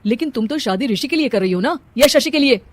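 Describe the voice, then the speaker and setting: A woman's voice: high-pitched, animated, fast talker, no room sound. Woman playing a cautioning middle-aged relative, dry sound. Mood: exasperated. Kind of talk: cartoon family drama acting.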